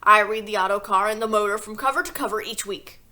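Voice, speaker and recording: A woman voicing a rude, impatient little man who is the girl's father, speaking gruffly and loudly. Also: medium pitch, quick pace, close to an everyday voice. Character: rude male father, small ratty man.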